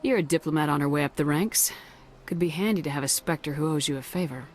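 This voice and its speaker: An actress playing a direct, matter-of-fact military commander heroine in a video game. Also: female voice, low voice, fast, a little hiss or hum, lightly acted. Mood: shrewd. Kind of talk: dramatic video game voice acting.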